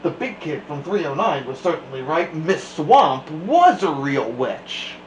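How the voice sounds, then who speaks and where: A man's voice: on the high side, big pitch swings, measured pace. A man hosting a children's story time, reading aloud and chatting expressively. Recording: echoey room, faint background noise.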